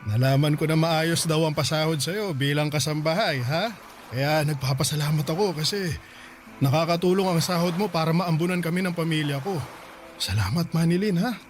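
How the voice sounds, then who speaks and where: A man's voice: on the high side, normal speed, a little hiss or hum, close to an everyday voice. A man playing a boastful, freeloading older father with a Filipino accent in a radio drama. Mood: wheedling, grateful.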